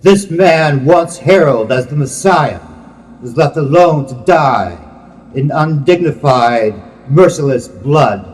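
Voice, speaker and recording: A man giving a solemn, reverent religious poetry reading in a measured, declamatory manner. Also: a little high. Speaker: poet reading own work. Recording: long hall-like reverb.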